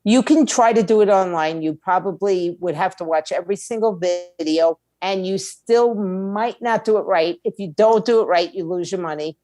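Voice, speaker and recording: A woman's voice: lowish voice, moderate pace, hardly any pauses, dark tone, dry recording. A woman speaking as a guest expert in an interview, explaining things in a plain-spoken, emphatic, conversational way. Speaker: guest, music attorney and indie label owner.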